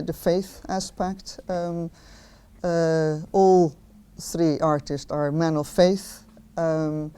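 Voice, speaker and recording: A woman's voice: low voice, warm tone, clear, resonant tone. A woman from the Netherlands with a Dutch accent, giving a public talk and hesitating often with ums and restarts. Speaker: philosopher of art, interviewer. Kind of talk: public talk with many fillers. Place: outdoor garden event.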